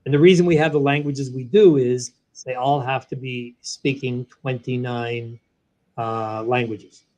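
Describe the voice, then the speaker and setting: A man, a guest in an interview, explaining a software product in an animated way, walking through each step. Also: mid-range voice, unhurried. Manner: animated, walks through steps one by one. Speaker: guest, sales training software executive.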